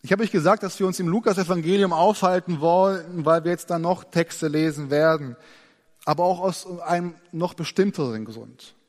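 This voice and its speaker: A man preaching a sermon, earnest and expressive, slowing down and dropping to a soft voice to stress his points. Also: fairly high pitch. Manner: earnest, expressive, slows down and drops soft for emphasis. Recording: long church reverb.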